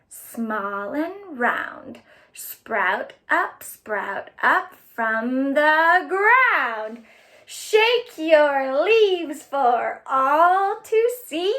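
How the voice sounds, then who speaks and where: Lady doing kids library storytime, really high voice, takes it slow, sing-songy and exaggerated for the little ones. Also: fairly bright tone. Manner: exaggerated, sing-song delivery for young children. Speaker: children's librarian, storytime host. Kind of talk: children's storytime, read-aloud and singing along.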